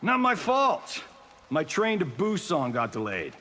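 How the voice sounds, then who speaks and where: Cocky film-star brawler for a game: slow smug drawl, lively pitch, some fry, noisy backing. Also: male voice, exaggerated voice. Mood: flippant. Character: cocky, flippant, vain male movie-star fighter. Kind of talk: video game character dialogue.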